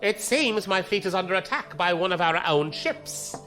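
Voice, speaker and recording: A performer acts out an officious fleet commodore of a space empire, icily courteous and formal. The voice is sharp and forceful with lively intonation, set in a science fiction radio drama over faint music. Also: mid-range voice, normal speed, natural voice. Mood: icily displeased. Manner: formal, clipped politeness.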